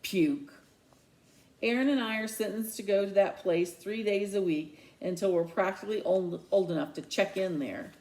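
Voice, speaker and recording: A man's voice: sits high, moderate pace, a little mellow, poor audio. A woman voicing a resentful, sarcastic middle-school boy in a children's novel read aloud. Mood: bitter, sarcastic. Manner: indignant, sarcastic.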